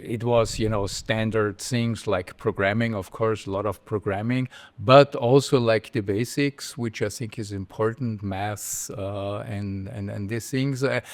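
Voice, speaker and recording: A middle-aged man with an Austrian accent, a German native speaker and a computer vision professor, talking casually as a podcast guest, halting and repeating words as he goes. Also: on the low side, fairly even intonation. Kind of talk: casual interview.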